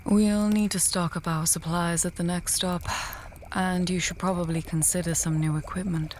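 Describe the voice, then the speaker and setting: Low-voiced, thoughtful scholarly mage played by a woman. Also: fairly even intonation, darkish tone, a little hiss or hum, close to an everyday voice. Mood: thoughtful, practical. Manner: measured, thinking aloud. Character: earnest, scholarly female mage, thoughtful and dryly testy. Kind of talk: fantasy audio drama acting.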